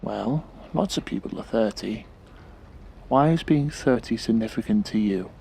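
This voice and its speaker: An actor playing a calm, probing therapist in an audio drama, with a measured, polite and clinical manner. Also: male voice, fairly low pitch, gravelly, a little hiss or hum. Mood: polite, professional.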